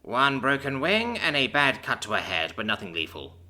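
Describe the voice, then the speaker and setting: A man's voice: mid-range voice, sing-song, moderate pace, bright, forceful tone. A man voicing a cold, matter-of-fact healer in a storybook reading, speaking clinically.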